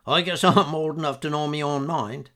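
A man's voice: a little high, normal speed, sharp tone, pitched above the performer's own voice. A man voicing a wiry, stubborn old fisherman in his nineties with a sly, grumbling manner. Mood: scornful. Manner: sly, cantankerous, dialect speech.

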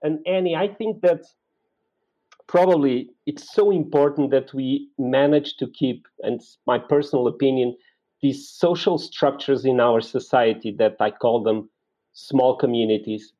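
A man's voice: medium pitch, measured pace, mellow, dull audio. A man in his forties from Portugal with a Portuguese accent, telling personal stories in a reflective, conversational way as an interview guest. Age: 40s.

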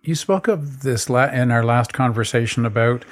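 An interviewer with a middle-pitched voice asks gentle, attentive questions. The delivery is calm, with frequent brief affirmations while the guest speaks. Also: moderate pace. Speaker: interviewer, host.

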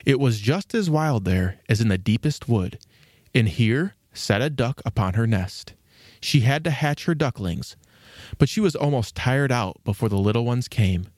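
A man's voice: mid-range voice, expressive, normal speed, a little mellow, no echo, studio quality. A man hosting and narrating a scripted children's storybook programme in a theatrical storytelling manner. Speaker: host and narrator.

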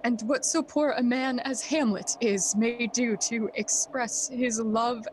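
A woman playing Hamlet as a troubled young prince in a Shakespearean audio play, speaking in a solemn, deliberate, declamatory manner. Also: a little high, steady tone, a little hiss or hum, poor audio. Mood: tender, earnest. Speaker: stage actor in audio play. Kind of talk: Shakespearean verse acting.

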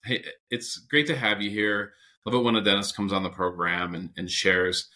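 Man, podcast host, low pitch, bright forceful tone, chatty. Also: fairly even intonation, normal speed. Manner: enthusiastic, chatty. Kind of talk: podcast interview hosting.

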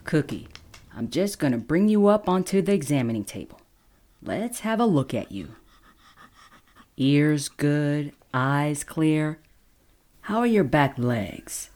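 A woman's voice: low-pitched, big pitch swings, takes it slowly, pauses often, a little mellow, full character voice. A woman playing a kindly, frank veterinarian with a casual manner, in a naturalistic audio drama. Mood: gentle, soothing.

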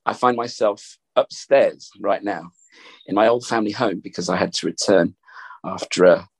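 A middle-aged man hosting a podcast with a gravelly voice, talking in a thoughtful, rambling way from an upstairs room at home. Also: lowish voice, normal speed, poor audio. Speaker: podcast host. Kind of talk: casual reflective chat.

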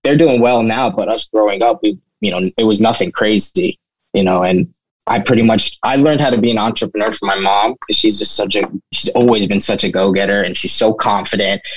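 A man's voice: on the low side, brisk, dry recording, low-quality recording. A young man who grew up in the United States, telling his story informally as an interview guest, often saying "you know.". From United States, born in Turkey. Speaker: interview guest, flooring business owner. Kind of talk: casual personal storytelling.

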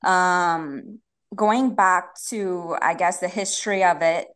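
A woman in her thirties from Trinidad with a strong Trinidadian accent, a podcast guest explaining things in a relaxed, casual way. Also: lowish voice, slow pace, clean tone. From Trinidad and Tobago. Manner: explanatory, relaxed, repeats words while thinking. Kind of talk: casual interview chat.

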